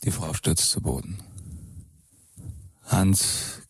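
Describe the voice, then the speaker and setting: A man in a radio drama playing a brooding investigator who reconstructs a crime in a deliberate, suspenseful manner with a husky voice. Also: low-pitched, no room sound, clean studio sound, exaggerated voice. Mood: grave, suspenseful. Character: brooding male fairy-tale investigator reconstructing a killing.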